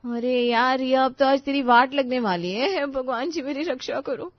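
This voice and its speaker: A woman voicing an eager, anxious young daughter-in-law in a family story, with an excitable, dramatic, pleading manner. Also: high-pitched, moderate pace, no echo, lo-fi sound, pitched above the performer's own voice, close to an everyday voice.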